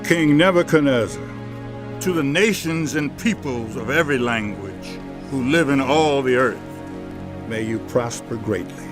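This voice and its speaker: A man's voice: medium pitch, close to an everyday voice. A man acting a proud, volatile Babylonian king in a dramatized audio Bible, speaking in a regal, declamatory way.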